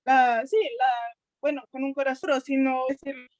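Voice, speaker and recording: A sixteen-year-old Mexican girl with a Mexican accent, speaking earnestly at a youth colloquium, often pausing to search for words and restarting her sentences. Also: high-pitched, level tone, no room sound, clean studio sound. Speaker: essay contest participant. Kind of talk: unscripted talk at a youth colloquium.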